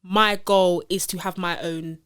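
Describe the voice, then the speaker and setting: A woman from co-hosting a casual podcast chat, animated and enthusiastic, laughing easily. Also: fairly low pitch, measured pace, clean tone. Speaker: podcast co-host.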